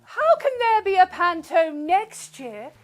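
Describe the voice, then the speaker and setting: Evil panto queen, super high voice, slow n gloaty, snooty as anything, music behind. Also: very animated, full character voice. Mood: gloating, scornful. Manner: haughty, gloating, theatrical. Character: vain, scornful, scheming wicked pantomime queen. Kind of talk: pantomime villain monologue to audience.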